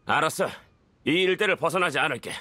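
High-pitched, haughty young villain. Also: male voice, fast talker, pushed and bright, dry recording. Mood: dismissive. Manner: haughty, dismissive, snappish. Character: arrogant, irritable young male inventor villain. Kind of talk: video game voice acting.

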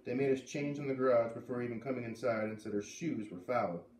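A man in his thirties reading a story aloud with expressive narration, sitting indoors at a little distance from the camera. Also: medium pitch, a little fast. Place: living room indoors by a fireplace. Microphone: camera a few feet away. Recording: roomy camera sound, faint noise.

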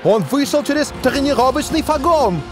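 A naive, boyish cartoon footballer who plays detective. He sounds earnest and very high for a man, with lively swings and music behind. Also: moderate pace. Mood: puzzled. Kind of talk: animated cartoon dub.

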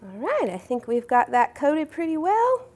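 A woman's voice: high voice, sing-song, normal speed, a little mellow. A young woman hosting a cooking show, explaining each step in a friendly way in a studio kitchen. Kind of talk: cooking demonstration. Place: home-style studio kitchen.

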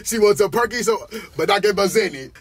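A man's voice: high voice, animated, moderate pace, faint music behind. A man rapping in a studio recording booth into a condenser mic, playful and laughing between bars. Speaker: rapper. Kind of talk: freestyling in the studio. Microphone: studio condenser mic with headphones.

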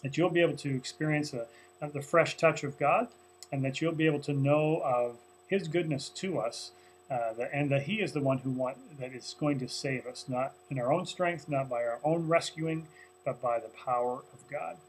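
A man's voice: on the high side, moderate pace, a little hiss or hum, rough recording. A man in his forties, a pastor, recapping a sermon to camera from a small office in an earnest, conversational way with many fillers. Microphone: webcam at desk, close framing.

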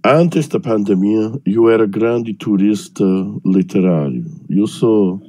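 Older english guy on a headset video call, hoarse, slow and halting, low dark voice, dry sound. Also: expressive, dry recording. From England, Birmingham. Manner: slow, halting, searching for words. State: hoarse from illness. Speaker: panelist, professor. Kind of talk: online panel talk. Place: home room with bookshelves.

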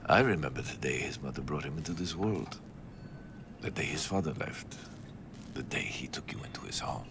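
A stern older priest in a video game, solemn and measured. The voice is extremely deep and gravelly, over clear rumbling noise. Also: male voice.

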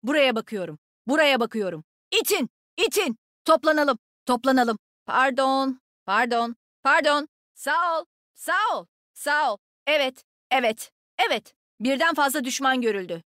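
Casting: nimble young female game agent. Bright-spirited taunter, very animated delivery, sassy and sure of herself, dry close studio sound. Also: high-pitched, measured pace, dry recording. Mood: brisk, alert. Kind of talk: video game combat voicelines.

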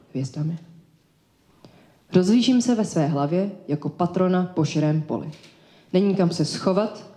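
A woman performing spoken-word poetry live as a slam poet, delivering short lyrical phrases in a measured, declaimed way. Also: lowish voice, dark tone. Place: indoor live event space. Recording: echoey live room.

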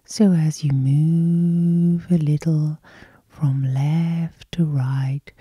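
A woman softly guiding listeners through sleep hypnosis in a breathy, whispery, lulling ASMR style. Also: low voice, steady tone, no echo, clean studio sound. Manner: soft, slow, lulling. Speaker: hypnosis session host.

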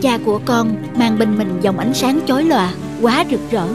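A woman voicing a gentle, firm mother goddess in a myth cartoon, patient and instructive. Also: fairly high pitch, moderate pace, close to an everyday voice. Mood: tender, solemn. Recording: music behind.

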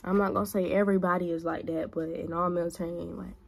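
A woman's voice: on the low side, little pitch movement, fast, creaky. A woman in her twenties talking casually to a phone camera while doing her makeup in a small bedroom, giving blunt big-sister advice. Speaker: vlogger. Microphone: phone camera at arm's length.

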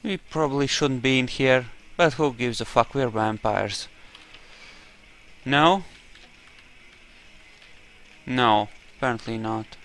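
A man's voice: mid-range voice, slow, pauses often, a bit bright, a little hiss or hum. A man narrating a video game playthrough casually, with laid-back, dry humor and casual swearing. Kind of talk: casual let's play commentary, in-character roleplay.

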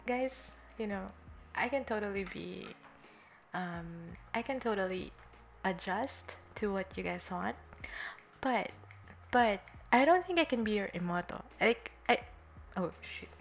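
Slow, gravelly young woman streamer. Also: mid-range voice, a bit bright, slight background noise. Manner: giggly, rambling with many fillers. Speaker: streamer drawing live. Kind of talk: casual chat while drawing. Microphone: desktop USB microphone.

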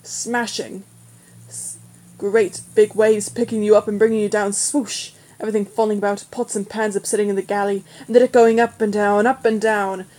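A woman's voice: high-pitched, a little mellow, pitched above the performer's own voice. Woman voicing a cheeky, bold schoolboy. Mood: gleeful teasing. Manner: teasing, quick to bristle.

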